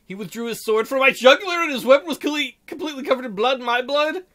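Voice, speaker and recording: A man's voice: very high-pitched, fast talker, sharp tone. A man hosting a game playthrough, reading the story aloud and adding goofy, crude joking asides. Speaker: gameplay host reading a visual novel aloud.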